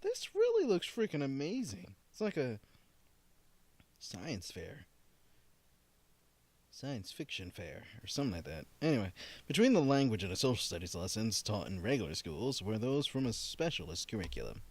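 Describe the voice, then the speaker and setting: A man's voice: mid-range voice, wildly swooping pitch, moderate pace, a little mellow, husky. A man doing a let's play, reading visual novel text aloud and dropping in casual, slangy asides. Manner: casual slangy asides between read passages. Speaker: video game let's player. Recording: music playing behind the voice. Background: game music.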